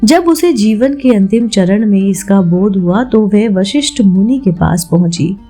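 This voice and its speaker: A woman's voice: mid-range voice, dark tone. A woman hosting a devotional explainer, telling religious tales and instructing listeners as friends. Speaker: host of a devotional channel. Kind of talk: devotional explainer narration with storytelling.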